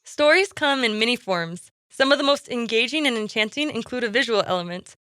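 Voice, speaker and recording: A woman's voice: on the high side, lively intonation, brisk, sharp tone. A woman hosting a podcast, interviewing a guest in a conversational style.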